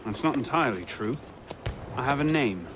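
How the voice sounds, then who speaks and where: A man's voice: medium pitch, expressive, moderate pace, background noise, natural voice. A man playing a wry, questioning young assassin in a dramatic game cutscene. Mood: intent. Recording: loud music and crowd behind.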